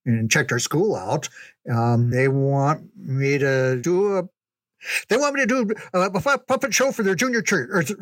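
A man's voice: mid-range voice, no echo. Folksy man telling stories, dry close sound. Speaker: storyteller recalling ministry memories. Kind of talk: casual reminiscing storytelling.

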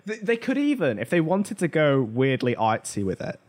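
A man's voice: on the high side, wildly swooping pitch, moderate pace, a little mellow. A man co-hosting a pop culture talk show, discussing things in a casual, conversational way. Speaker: podcast co-host.

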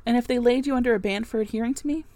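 A woman voicing a brusque, teasing, commanding middle-aged gentleman in an old-fashioned storybook. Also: fairly high pitch, rapid-fire, no echo, lightly acted. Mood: probing, brusque. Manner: imperious, formal old-fashioned phrasing.

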